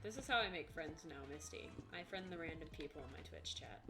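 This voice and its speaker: A woman's voice: low voice, expressive, fast talker, pushed and bright, soft background music. A tired woman streamer chatting casually with viewers, joking bluntly and dryly.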